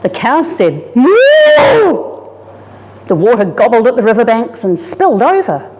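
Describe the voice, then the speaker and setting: A woman reads a children's picture book aloud in a dramatic, animated storytelling manner. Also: on the high side, fairly quick. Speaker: story reader, disaster resilience officer. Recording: reverberant hall-like room.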